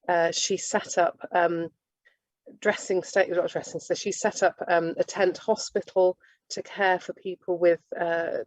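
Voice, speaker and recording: A woman giving an informal lecture, halting and often restarting her sentences. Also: lowish voice, dry recording. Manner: halting, with many restarts and self-corrections. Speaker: speaker giving a talk on nursing history. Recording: dull lo-fi dry recording.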